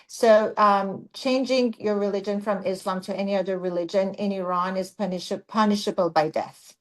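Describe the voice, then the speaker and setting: Female webinar moderator, mid pitch, deliberate pacing, video-call audio. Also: fairly even intonation, low-quality recording. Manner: measured, deliberate, framing questions. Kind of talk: moderating a panel discussion.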